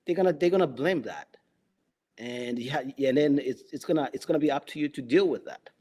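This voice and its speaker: Non-native english speaking cop guy, mellow warm voice, halting, lots of you know's, chatting in an interview. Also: medium pitch. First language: not English. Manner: halting, many restarts and "you know" fillers. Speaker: interview guest, police officer. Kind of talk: conversational interview storytelling.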